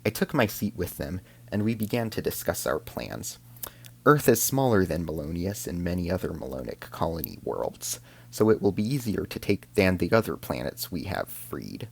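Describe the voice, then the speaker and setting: A man's voice: low voice, sing-song, moderate pace, creaky, darkish tone, husky. A man narrating a scripted science fiction drama in the first person as an alien soldier, in a solemn, formal storytelling manner.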